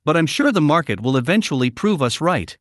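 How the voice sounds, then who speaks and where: A man's voice: fairly high pitch, sing-song, fairly quick, no room sound, studio quality. A man answering as an interview guest, explaining in formal, prepared-sounding sentences. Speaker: interview guest, media analytics company representative.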